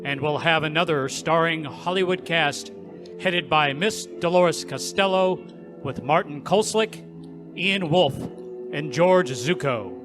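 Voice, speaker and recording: A suave 1940s radio narrator rolls out the closing credits in a smooth, ceremonious announcer's voice, played by an actor. His voice sits a touch high. Clear music plays under him. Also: unhurried, natural voice. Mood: announcing.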